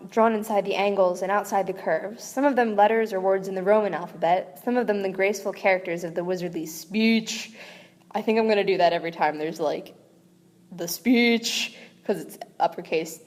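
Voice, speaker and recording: A woman's voice: medium pitch, normal speed, darkish tone. Casual woman reader, mid-range voice, chatty aside-prone read-aloud with a lingering reverb. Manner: chatty, with frequent fillers and rereads. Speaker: amateur audiobook reader.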